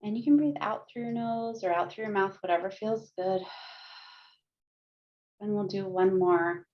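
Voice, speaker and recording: A woman counselor leading a group through guided mindfulness and gentle yoga, speaking calmly with frequent light laughs, heard over a video call. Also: medium pitch, normal speed. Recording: muffled lo-fi video call audio.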